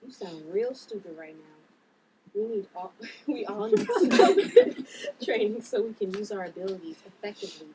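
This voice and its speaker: A woman's voice: mid-range voice, sing-song, measured pace. A woman playing a blunt, sensible sister with superpowers in a table read. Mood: blunt, firm. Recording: room recording with background noise.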